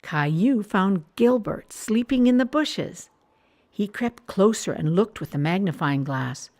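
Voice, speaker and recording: A woman narrating a scripted children's storybook with expressive, clear phrasing. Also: mid-range voice, a little slow.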